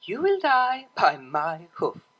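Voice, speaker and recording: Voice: medium pitch, wildly swooping pitch, slow pace, muffled recording, pitched above the performer's own voice, full character voice. A performer voicing a refined young mare who plays cello, prim and easily flustered, in an audio story. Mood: mock threatening. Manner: prim, formal phrasing.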